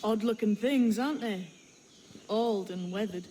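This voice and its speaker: A woman plays a young pirate passing as a boy in a video game scene. The voice is dark and mellow, cryptic and probing, with folksy phrasing. Faint outdoor ambience sits behind it. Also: medium pitch, a little slow, slight background noise, close to an everyday voice. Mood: guarded, coaxing. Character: young pirate in male disguise, sly, cryptic mentor. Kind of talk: video game cutscene acting.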